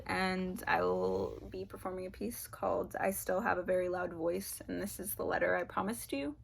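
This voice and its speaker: A woman performing her spoken word poem in a deliberate, rhythmic delivery over a video call from home. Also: mid-range voice, gravelly. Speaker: poet.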